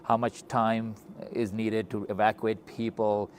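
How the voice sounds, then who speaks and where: Middle aged pakistani guy chatting as interviewer, lowish voice, acts stories out, some noise behind him. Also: unhurried. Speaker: interviewer, physician hosting a talk. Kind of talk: conversational interview with storytelling. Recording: noticeable steady background noise.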